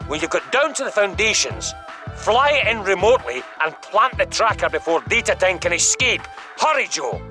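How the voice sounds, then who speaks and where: A man's voice: high voice, very animated, moderate pace, pushed and bright, faint music behind, full character voice. A man playing a stern but concerned spymaster in a video game, giving earnest orders. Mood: urgent briefing. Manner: commanding, earnest. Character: stern, concerned male spymaster commanding by radio. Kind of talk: video game cutscene acting.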